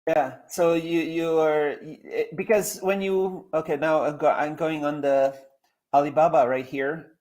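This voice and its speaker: Slightly high-voiced halting male interviewer. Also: fairly even intonation, darkish tone, low-quality recording. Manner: halting, restarts sentences, thinks aloud. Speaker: host, interviewer. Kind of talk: casual live interview.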